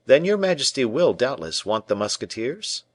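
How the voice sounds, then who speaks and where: A man voicing a seasoned musketeer captain who answers in a cool, measured, respectful way. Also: medium pitch, sing-song, no echo, studio quality, pitched above the performer's own voice.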